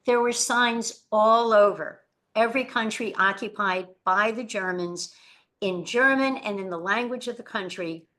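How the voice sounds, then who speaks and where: A woman Holocaust educator, giving an earnest lecture that tells rescue stories and drops quietly at somber moments. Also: mid-range voice. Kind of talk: lecture presentation.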